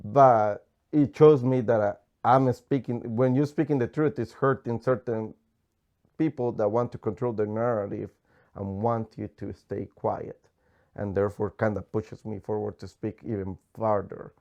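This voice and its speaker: A man's voice: on the low side, steady tone, unhurried, a little mellow, muffled recording. A man from Colombia with a Colombian Spanish accent, a native Spanish speaker, talking passionately and emphatically as a guest economist in an interview. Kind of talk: candid political interview.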